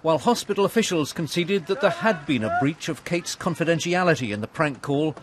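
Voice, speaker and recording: Man, news reporter. Fairly high voice, scripted voice-over, faint noise underneath. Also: expressive, brisk.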